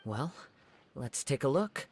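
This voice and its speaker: A young man voicing a calm, thoughtful wandering samurai in a video game, with a soft-spoken, measured and composed delivery. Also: medium pitch, a little hiss or hum. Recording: game audio with faint music under.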